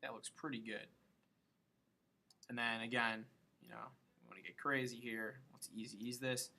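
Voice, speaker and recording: A man giving a casual software tutorial, thinking aloud and loosely muttering to himself between steps as he works. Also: mid-range voice, fairly even intonation, normal speed, vocal fry. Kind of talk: casual screen-recorded tutorial, thinking aloud while working.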